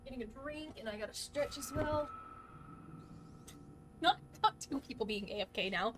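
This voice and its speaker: Excitable cursing woman streaming a game. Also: high-pitched, normal speed, over music. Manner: swears a lot, excitable outbursts, talks to chat. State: a bit hoarse. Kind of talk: live gaming stream commentary.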